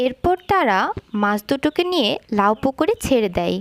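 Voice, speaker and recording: A woman's voice: sits high, very animated, brisk, no room sound. A woman narrating a scripted cartoon folk story as its storyteller.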